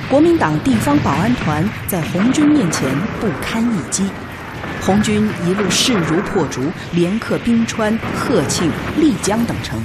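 A woman's voice: medium pitch, animated, a little slow, very noisy. A woman giving formal, solemn scripted narration for a radio drama. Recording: loud music under narration.

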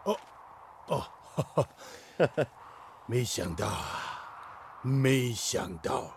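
An actor playing a genial, courteous Japanese visiting expert in a radio drama, speaking in a measured way. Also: male voice, mid-range voice, expressive, a little hiss or hum. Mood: surprised.

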